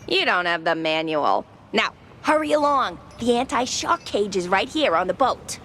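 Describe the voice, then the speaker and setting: A woman's voice: medium pitch, sing-song, normal speed, a bit bright. A woman voicing a no-nonsense tinkerer in a cartoon game, bossy and matter-of-fact. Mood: brisk, bossy.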